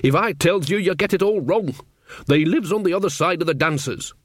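Male reader as old mother, evasive, halting, exasperated; lively intonation, audiobook. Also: fairly high pitch, no room sound, clean studio sound, heavily acted. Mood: reluctant, exasperated. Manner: vague, halting, rustic grammar. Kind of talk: audiobook character acting.

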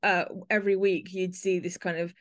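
A woman's voice: on the low side, level tone, moderate pace, lo-fi sound. A woman, speaking conversationally as the host of a podcast.

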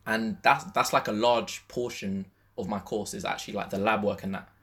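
A man's voice: fairly low pitch, fairly even intonation, normal speed, a bit bright. A young man chatting casually as a podcast co-host, thinking aloud in slangy speech and restating his points. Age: young adult. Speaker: podcast co-host, university student. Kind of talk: casual chat.

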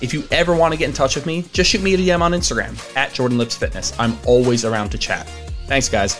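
A young American man hosts his own fitness podcast alone. He speaks in a middle register and rambles casually, with frequent fillers and self-corrections. He sounds relaxed and unscripted. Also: medium pitch, fast talker, music in the background. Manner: rambling, lots of "like" and restarts. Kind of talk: casual solo podcast monologue.

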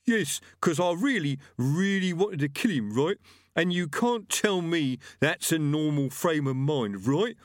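Whining ghost of a killer, pitched up. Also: male voice, lively intonation, no echo, studio quality. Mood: aggrieved. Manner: halting, whining, self-pitying. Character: aggrieved, dim ghost of a male murderer.

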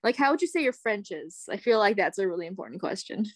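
A woman's voice: medium pitch, expressive, quick pace, no room sound, studio quality. A young woman in casual chat, encouraging and full of "like" and "you know" fillers.

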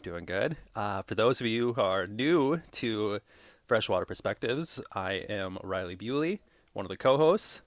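A man's voice: fairly low pitch, lively intonation, unhurried, no room sound, clean studio sound. Rambling young male podcast co-host. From Minnesota. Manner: rambling, tangent-prone, laughs often. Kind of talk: casual chat.

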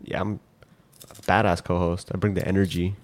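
A man's voice: sits low, level tone, a little fast. A young man co-hosting a casual podcast chat, sounding stuffed up after nose surgery, laid-back and swearing freely. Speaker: podcast co-host.

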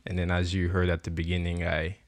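A man's voice: low voice, brisk, no echo. A man in his twenties, guest on a podcast, chatting casually with frequent laughs and "um"s. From Uganda. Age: 20s. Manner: relaxed, laughs often, many ums and likes. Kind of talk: casual chat.

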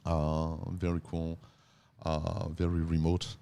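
A man's voice: sits low, completely flat delivery, slow pace, gravelly, warm tone, clear, resonant tone. A man in his fifties, a native French speaker with a French accent, chatting as an interview guest, often repeating words and laughing easily. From France. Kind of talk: casual interview talk.

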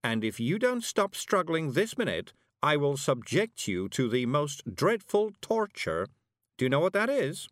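A man voicing a composed, dryly stern female witch in a storybook, speaking in a measured, articulate way. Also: on the high side, sing-song, no echo, clean studio sound, voice pushed up. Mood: stern, threatening.

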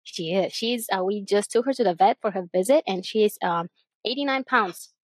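A woman's voice: mid-range voice, normal speed, dry recording. Bubbly Bulgarian-accented woman co-host. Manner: bubbly, enthusiastic, laughs often. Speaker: podcast co-host, real estate agent. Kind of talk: casual chat.

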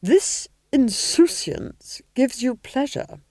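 A woman voicing an imperious, menacing Duchess with a hissing rasp and drawn-out threats, in a fantasy audiobook. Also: sits high, huge pitch swings, a little mellow, dry recording, pitched above the performer's own voice, exaggerated voice. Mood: menacing, commanding. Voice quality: hissing, rasping. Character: menacing, imperious big red-faced villainous Duchess.

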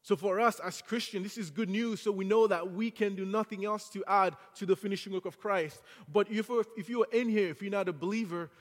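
A man's voice: high voice, fairly even intonation, fairly quick, few pauses, long reverb tail. A man from Haiti with a French accent, preaching an earnest sermon to a congregation that builds to fervent exhortation. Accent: French-accented English. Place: church sanctuary.

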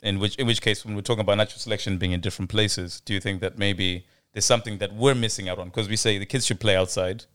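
A man with a very deep, smooth voice muses aloud in a casual podcast chat. He hesitates often, with many "um"s and "uh"s, and turns his thoughts into questions for the others. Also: fairly even intonation, fast talker, hardly any pauses, a bit bright. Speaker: podcast co-host.

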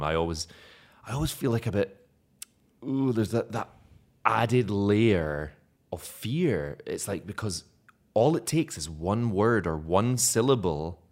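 A man's voice: low-pitched, darkish tone. A young Scottish man, an actor being interviewed, chatting casually and self-deprecatingly, laughing often. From Scotland.

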